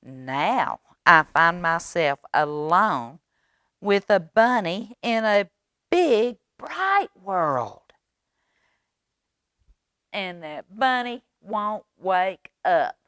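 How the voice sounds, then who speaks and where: A tiny yellow duckling, acted out by a woman storyteller, freshly hatched and plucky. The delivery is slow and drawn out, full of curious wonder, in a dry, close storybook reading. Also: on the low side, expressive, no room sound, voice pushed up, exaggerated voice. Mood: puzzled wonder.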